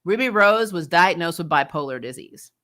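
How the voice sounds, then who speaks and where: A woman's voice: on the low side, normal speed. A woman hosting a fan recap show, giving casual, opinionated TV commentary in a blunt, sweary way. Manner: blunt, sweary, animated mock-quoting.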